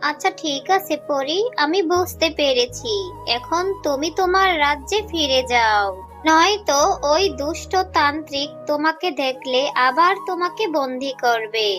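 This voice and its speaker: A caring mother fox, played by a woman, with a high voice and a patient way of talking. It is children's cartoon dialogue over faint music. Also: lively intonation, unhurried, fairly bright tone. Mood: kindly.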